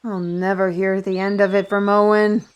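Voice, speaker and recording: A woman's voice: medium pitch, flat delivery, moderate pace, clean tone, dull audio, close to an everyday voice. A woman playing a wry, learned artisan steward in a fantasy audio roleplay, talking conversationally and instructively to the listener. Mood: exasperated, resigned.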